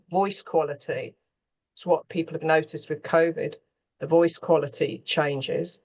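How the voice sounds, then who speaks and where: A woman's voice: low voice, muffled recording. A middle-aged or older woman, a scriptwriter, explaining her work in conversation on a panel. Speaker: radio drama scriptwriter on a panel.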